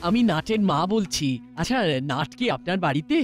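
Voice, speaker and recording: A woman's voice: on the low side, lively intonation, brisk, faint music behind, lightly acted. A woman voicing a worried young mother in a cartoon. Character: worried young mother looking for her son.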